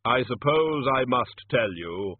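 Guy doing storybook grim reaper playing santa, slow n awkward, very literal, husky, muffled sound. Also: mid-range voice, animated. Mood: solemn, careful. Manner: slow, hesitant, literal-minded.

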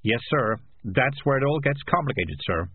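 A man's voice: medium pitch, lively intonation, normal speed, phone-quality sound, slight background noise, lightly acted. A man voicing a grumpy, cynical talking cat with a blunt, sardonic manner. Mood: anxious, deferential. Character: Morris, grumpy, cynical, streetwise talking tomcat.

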